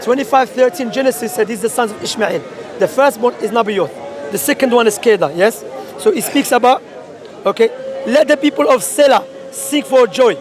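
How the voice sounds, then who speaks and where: A man's voice: very high voice, normal speed. Insistent man preaching over a crowd. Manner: insistent, interrupting, quotes scripture. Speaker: street preacher. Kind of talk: street debate. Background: crowd chatter.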